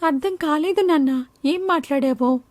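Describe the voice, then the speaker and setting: A woman voicing a curious, cheerful young village girl in an animated moral story. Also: high voice, moderate pace, no echo, voice pushed up, lightly acted.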